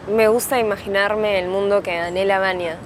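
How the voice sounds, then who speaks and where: A woman narrating a documentary reflection in a thoughtful, measured way. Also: mid-range voice, fairly even intonation. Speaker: reporter narrator. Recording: loud street noise behind.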